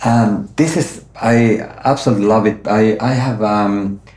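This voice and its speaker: A man's voice: fairly low pitch, steady tone, unhurried, a little mellow. A middle-aged man hosting an interview, talking hesitantly with many fillers and restarts, speaking English as a non-native. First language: not Swedish; Finnish-sounding name, origin unstated. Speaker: podcast host. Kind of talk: conversational interview. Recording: roomy, echoey sound.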